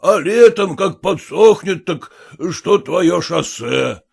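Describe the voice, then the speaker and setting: A man's voice: sits high, animated, slow pace, gravelly, slightly rough, full character voice. A man doing a placating peasant driver. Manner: coaxing, soothing.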